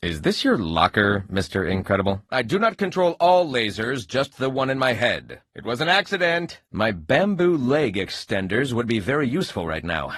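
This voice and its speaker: A husky earnest superhero for a video game, pompous and stilted, pitch swinging all over. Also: male voice, big pitch swings, moderate pace, hardly any pauses, a bit bright, no echo, studio quality. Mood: solemn, quirky. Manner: pompous, stilted, solemnly dramatic heroic delivery. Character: earnest, eccentric male laser-eyed superhero, formal and oddly literal. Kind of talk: video game character voice acting.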